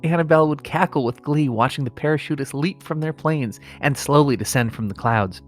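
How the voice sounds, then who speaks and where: A man's voice: on the high side, a little fast, faint music behind. A middle-aged man co-hosting a comedy podcast, moving between mock-solemn reading and casual chat. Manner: breaks into laughter while trying to read gravely.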